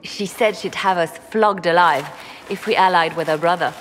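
A woman's voice: lowish voice, normal speed, a bit bright, in a large hall, slight background noise, natural voice. A woman voicing a wry, unruffled advisor with a dry, teasing manner in a video game. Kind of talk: video game dialogue acting.

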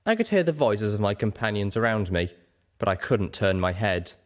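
A very low-pitched man narrates a dramatic story. His delivery is theatrical and expressive, swinging widely in pitch as the scenes unfold. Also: normal speed. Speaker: audiobook narrator. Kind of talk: scripted, dramatic fan fiction narration.

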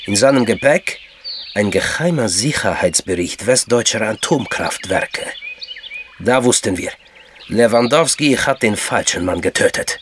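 Calm, knowing intelligence man from Georgia, lowish voice, formal explainer, radio drama, noticeable background noise. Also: measured pace. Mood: calm, explaining. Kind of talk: German crime radio drama dialogue.

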